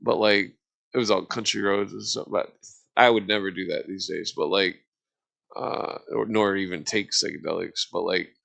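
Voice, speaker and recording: A middle-aged man with a low, bright voice that breaks into fry. He tells casual, rambling stories haltingly, circling back on himself in profane, slangy language. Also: steady tone.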